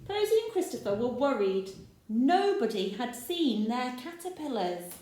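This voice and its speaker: Expressive teacher reading a storybook. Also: female voice, a little high. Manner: expressive, slow, pointing out pictures and asking the listener questions. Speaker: teacher reading a picture book. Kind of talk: reading a picture book aloud to children. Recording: echoey room.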